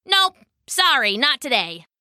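A plucky little male duck, voiced by a woman storyteller, bright and high. He is polite, eager and determined, with a sing-song quacking delivery that swings up and down. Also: very animated, a little slow, dry recording, studio quality, exaggerated voice. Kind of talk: children's storybook character voice.

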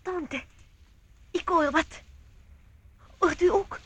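Timid French girl, very high-pitched. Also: extremely slow, fairly bright tone, exaggerated voice. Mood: anxious. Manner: fretful, exclaiming. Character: timid, squeamish young French girl, easily frightened. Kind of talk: radio play acting.

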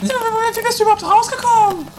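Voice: extremely high pitch, fast, background noise. A performer playing a fussy, dramatic lady who complains theatrically in an improvised trashy comic radio play. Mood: annoyed.

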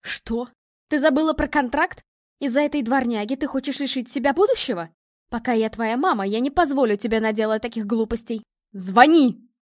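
A woman's voice: on the high side, expressive, quick pace, darkish tone, no echo, clean studio sound, lightly acted. A woman voicing a domineering, snobbish mother in a story, cutting, sarcastic and commanding. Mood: commanding, scornful.

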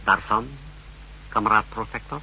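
Zealous intelligence officer, very sharp forceful voice, formal deferential reporting, vintage radio drama, slight background noise. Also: male voice, lowish voice, fairly even intonation, moderate pace. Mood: dutiful, eager. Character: zealous male secret service subordinate reporting to his chief. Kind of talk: 1960s Dutch radio drama acting. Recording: faintly noisy 1960s radio recording.

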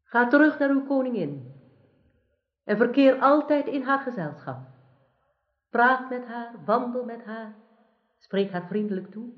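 A sorceress disguised as a saintly mother confessor in a radio play. She speaks slowly and solemnly, with measured command. The sound is muffled and strongly echoing. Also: a little high, animated. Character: wicked sorceress posing as a calm holy mother confessor. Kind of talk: radio drama acting. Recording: dull, roomy radio play recording.

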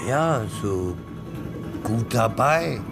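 Evasive, stammering neighbour. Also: male voice, mid-range voice, lively intonation, very slow, gravelly, full character voice. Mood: indifferent, slow. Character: evasive, slow-witted male neighbour, flustered and defensive. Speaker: actor in a radio drama. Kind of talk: German radio drama acting, naturalistic dialogue. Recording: faint music behind the dialogue.

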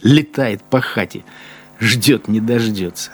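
An actor playing a bitter, spiteful wartime machine-gunner in a radio drama, grumbling with sardonic scorn. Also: male voice, lowish voice, slow, breathy edge. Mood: sardonic musing. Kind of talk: radio drama interior monologue.

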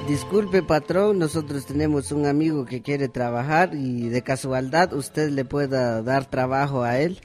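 A man's voice: medium pitch, fairly even intonation, measured pace, hardly any pauses, music in the background, close to an everyday voice. A man with a Guatemalan accent acting a humble, worried, courteous village father in an amateur radio drama. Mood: deferential. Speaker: actor in community radio drama.

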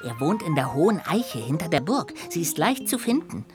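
An actress playing a polite, emotional little ghost in a children's radio play, with a formal, expressive delivery. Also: female voice, deep voice, normal speed, music in the background. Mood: excited, hopeful.

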